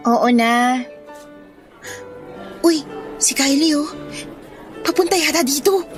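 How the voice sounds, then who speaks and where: Timid Filipino teen sidekick, high voice, unhurried and understated, radio drama over music. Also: female voice. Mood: mildly doubtful. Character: shy, overlooked teenage schoolgirl, loyal best friend. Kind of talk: radio drama acting in casual speech. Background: background music.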